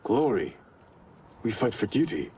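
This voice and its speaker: A man's voice: on the low side, sing-song, measured pace, noisy background. An actor playing an earnest, dutiful younger ninja brother who pleads his case in a video game drama. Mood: insistent.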